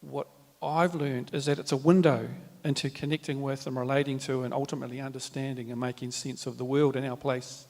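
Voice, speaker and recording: Nz guy at a panel talk, thoughtful, lots of ums and restarts, mid pitch, long hall echo. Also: level tone, creaky, a little mellow. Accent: New Zealand. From New Zealand. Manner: measured, reflective, frequent fillers and restarts. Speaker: panel speaker. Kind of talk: formal panel talk with Māori greetings. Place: large hall at a live event. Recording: reverberant hall.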